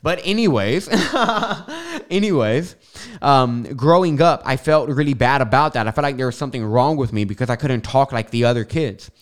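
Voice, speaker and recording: A young man talks into a podcast microphone with a clear lisp on his S sounds. His voice is smooth and resonant, sitting at an ordinary middle pitch. He rambles casually, with plenty of fillers and side tangents. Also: expressive, normal speed. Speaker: podcast host. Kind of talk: casual spiritual monologue. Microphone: studio microphone.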